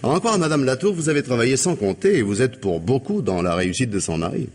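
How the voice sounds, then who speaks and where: A man's voice: medium pitch, animated, fast talker, a little mellow, lightly acted. A man playing an ironic, insinuating police inspector who questions with needling gallantry in a radio mystery drama. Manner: sardonic, insinuating questioning with polite irony.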